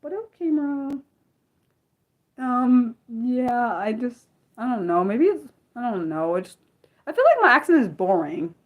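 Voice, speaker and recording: An American woman hosting a casual livestream, rambling and laughing often, trailing off with self-deprecating asides and swinging from loud bursts to soft mumbles. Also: a little high, big pitch swings, measured pace, narrow, tinny audio. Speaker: livestream host. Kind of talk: casual unscripted livestream chat, answering viewers.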